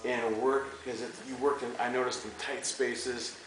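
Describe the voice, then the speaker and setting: A man in an audience speaking casually and haltingly, in an admiring way, as he asks a question. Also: mid-range voice, little pitch movement, crowd noise. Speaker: audience member asking question. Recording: very echoey theater, distant, faint noise.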